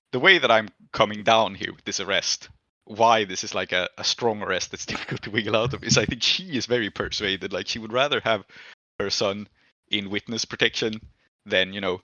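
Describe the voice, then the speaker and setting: A man's voice: lowish voice, pushed and bright, no room sound, dull audio. A man game-mastering a tabletop roleplaying session, casually thinking aloud and explaining his proposals as he goes.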